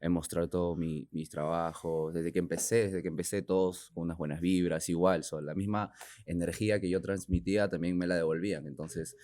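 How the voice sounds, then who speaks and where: A man's voice: deep voice, fairly even intonation, fast, a little mellow, no echo, clean studio sound. A man from Peru, a native Spanish speaker with a Peruvian accent, chatting casually and hesitantly, searching for words. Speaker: guest, tattoo artist. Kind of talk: casual podcast chat.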